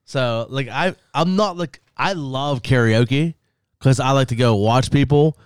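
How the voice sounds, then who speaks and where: A man's voice: mid-range voice, a little slow, smooth, dry recording, clean studio sound. A man hosting a casual chat, asking questions, laughing often and repeating words as he talks.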